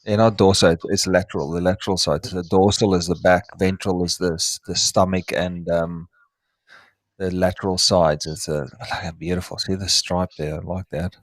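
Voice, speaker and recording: A man with a South African accent and Afrikaans as his mother tongue talks in a fairly low voice. He sounds dry and close, with little room around him. His delivery is casual, unscripted and wordy, now and then searching for the right word. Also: fairly even intonation, normal speed, no room sound. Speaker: reptile breeder and show host.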